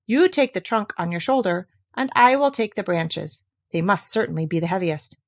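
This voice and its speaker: A woman's voice: fairly low pitch, normal speed. A woman voicing a small, clever, boastful male tailor in a storybook tale, breezy and sure of himself. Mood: breezy, teasing. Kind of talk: read-aloud fairy tale.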